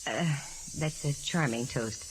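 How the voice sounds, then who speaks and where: An actress playing a wry, self-assured, quick-witted female private eye in a 1940s radio drama. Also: extremely low pitch, low-quality recording. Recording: vintage 1949 radio recording, hiss.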